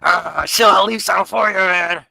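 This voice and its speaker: Man playing a forceful, savage vampire brawler. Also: sits high, takes it slowly, vocal fry, no echo, pitched above the performer's own voice, heavily acted. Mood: greedy, gloating.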